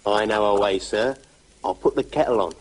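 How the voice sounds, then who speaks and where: A man's voice: fairly low pitch, normal speed, a little hiss or hum, natural voice, poor audio. A man playing an eager, deferential police sergeant in a radio comedy. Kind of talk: 1980s British radio comedy acting.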